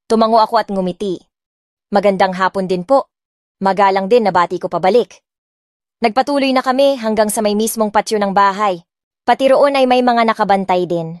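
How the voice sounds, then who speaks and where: A woman's voice: medium pitch, brisk, no room sound, clean studio sound. A woman with a Filipino accent reading dramatic first-person scripted narration as an audiobook narrator. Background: soft music.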